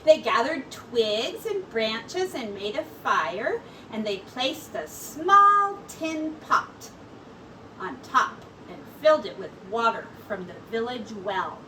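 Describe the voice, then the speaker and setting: Female storyteller, high voice, unhurried and expressive children's read-aloud, recorded at a distance from the mic. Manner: expressive, deliberate storytelling with brief asides to listeners. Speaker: teacher. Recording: distant mic with faint background noise.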